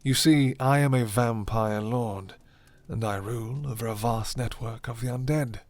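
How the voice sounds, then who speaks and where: A man voicing a suave, commanding vampire lord in an ASMR roleplay, with formal, breathy, half-whispered menace. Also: mid-range voice, moderate pace, darkish tone, close to an everyday voice. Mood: silky, intrigued.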